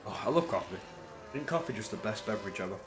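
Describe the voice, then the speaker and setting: Energetic man calling a race, echoey room. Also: fast, background noise. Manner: energetic play-by-play that climbs in pitch at tense moments; chatty asides during quiet spells. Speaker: race commentator. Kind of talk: solo live-stream sim racing commentary.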